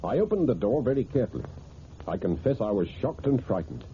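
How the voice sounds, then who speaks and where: An actor playing a precise, self-assured wealthy broker in an old radio drama, speaking formally and deliberately. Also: male voice, mid-range voice, sing-song. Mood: grave, dramatic. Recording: tinny, noisy old radio recording.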